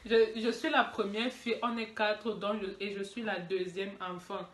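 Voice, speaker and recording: A young woman from Cameroon, talking in a casual group chat, animated and laughing often. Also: mid-range voice, moderate pace. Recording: echoey room with music behind.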